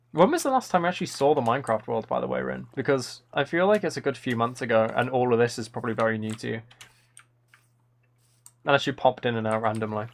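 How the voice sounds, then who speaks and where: A man with a creaky voice chats casually as a live streamer while gaming, half talking to himself and half to his viewers. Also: medium pitch, brisk, long pauses. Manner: muttering to himself between replies to chat. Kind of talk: casual chat while playing a video game on a livestream. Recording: faint noise with keyboard clicks.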